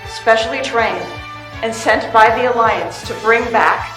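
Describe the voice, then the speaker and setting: A woman's voice: a little high, unhurried. A woman reading scripted narration for an action radio play. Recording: echoey room with music behind.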